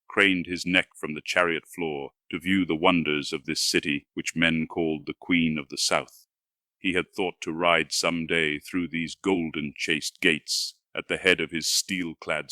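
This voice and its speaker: Deep, bright-edged man narrating grand fantasy. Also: no room sound, studio quality. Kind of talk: dramatic scripted audiobook narration.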